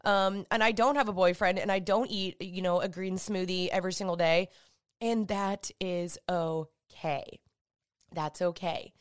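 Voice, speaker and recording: A woman's voice: lowish voice, fairly even intonation, unhurried, smooth. A young woman, chatting upbeat on her solo podcast while stuffy and congested. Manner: chatty, upbeat, with filler likes. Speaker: podcast host. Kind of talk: casual solo podcast talk.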